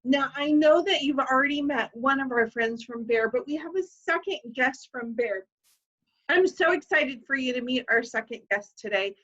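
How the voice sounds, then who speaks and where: A woman teacher speaks to children over a video call in a high, bright, bubbly voice. Her tone is exaggerated in the way teachers talk to young kids. The call audio is dull and lo-fi. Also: moderate pace. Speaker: elementary school teacher hosting career day. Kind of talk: enthusiastic classroom welcome.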